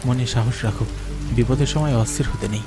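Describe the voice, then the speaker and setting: A man's voice: mid-range voice, fairly quick, loud music behind the voice. A man giving dramatic, scripted first-person narration of a horror story in a theatrical, earnest manner. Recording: clear rain noise behind the voice. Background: falling rain.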